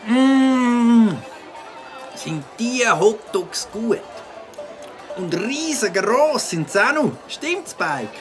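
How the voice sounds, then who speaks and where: An eager, naive cartoon boy chatters with lively swings in pitch. Music plays clearly behind the voice. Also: very slow, a faint crowd, heavily acted. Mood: eager, impressed. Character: enthusiastic, trusting young boy, best pal. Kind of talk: cartoon character acting.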